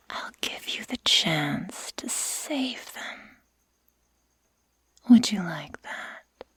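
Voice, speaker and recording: A woman playing a sadistic, menacing werewolf girl in an ASMR roleplay, taunting in a breathy whisper with slow, mock-sweet deliberation. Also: fairly low pitch, a bit bright, no room sound, heavily acted. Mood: mock generous. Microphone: close microphone.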